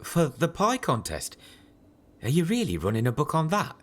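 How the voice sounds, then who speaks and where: A man's voice: fairly high pitch, huge pitch swings, normal speed, fairly bright tone, exaggerated voice. A man playing a practical, disapproving middle-aged mechanic father in a radio soap. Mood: stern, sceptical.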